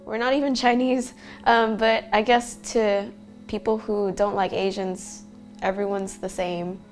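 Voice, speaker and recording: A woman's voice: mid-range voice, level tone, measured pace, soft background music. Matter-of-fact young woman. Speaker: documentary interviewee. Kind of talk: personal account.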